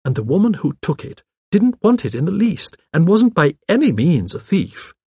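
A gentle old spinster, played by a male narrator, musing primly in a raised, slightly husky voice. She is shrewd and digressive, a gossipy village lady from an audiobook mystery. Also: high voice, very animated, moderate pace, no room sound, studio quality, voice pushed up, exaggerated voice. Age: older. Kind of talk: audiobook character dialogue.

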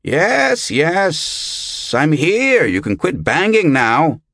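A man voicing a brisk, irritable bearded monk in an audiobook, with a dry, curt manner. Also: deep voice, big pitch swings, fairly bright tone, no room sound, heavily acted. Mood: irritated. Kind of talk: audiobook character voice.

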